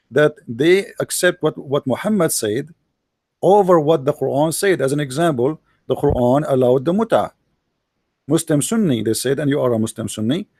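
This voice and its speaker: A man's voice: medium pitch, dry recording. A man with an Arabic accent arguing hard in a religious debate, quoting sources and pressing his opponent. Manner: forceful, argumentative, quotes sources. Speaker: debate host.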